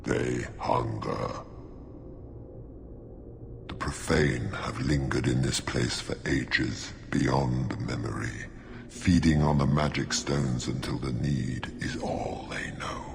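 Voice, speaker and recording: An actor playing an ancient, imperious demon of stone in a video game, speaking formally and with menace. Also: male voice, extremely low pitch, steady tone, slow pace, vocal fry, background noise, full character voice. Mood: ominous. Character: ancient imperious hungry demon rock creature.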